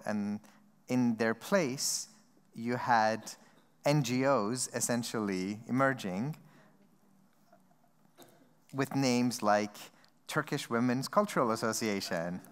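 Slow, measured male moderator in a hall. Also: mid-range voice, clear, resonant tone. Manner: slow, measured, with soft laughs. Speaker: panel moderator. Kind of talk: moderating a stage panel. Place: seated on a large theater stage. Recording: long hall reverb.

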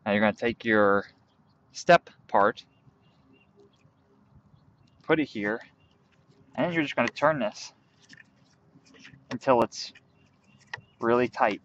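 A young man outdoors in a field, calmly walking through a hands-on tutorial step by step while he works with his hands. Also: medium pitch, takes it slowly, pauses often, lo-fi sound, slight background noise. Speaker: bushcraft instructor.

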